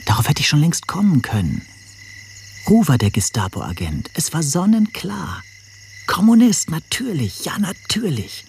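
A man's voice: fairly high pitch, very animated, unhurried, gravelly, a little mellow, slight background noise, heavily acted. An actor playing an anxious, scheming man who narrates his own suspicions in a German radio play. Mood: tense, scheming. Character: anxious, scheming male first-person narrator, brooding over suspicions.

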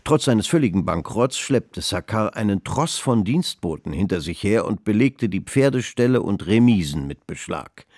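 A man narrating a historical radio drama in measured literary prose. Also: fairly low pitch, animated, a little mellow, no room sound, clean studio sound. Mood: measured narration. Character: measured male narrator of a 19th-century finance saga.

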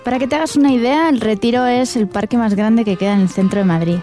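A woman's voice: on the high side, quick pace, dark tone, soft background music, close to an everyday voice. An actress playing a playful, flirtatious woman from Spain in an intimate radio drama, with a Spanish accent and a teasing manner. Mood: playful, breezy. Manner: teasing, coquettish. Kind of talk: radio drama acting, intimate phone conversation.

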